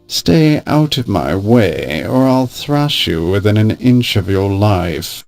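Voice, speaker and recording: A man's voice: lowish voice, animated, slow, gravelly, darkish tone, no echo, full character voice. An actor voicing an arrogant, bullying man in a video game, haughty and insulting in a theatrical way. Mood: indignant, threatening. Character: arrogant, blustering, bullying male museum exhibitor. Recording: music under the voice. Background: synthesized game music.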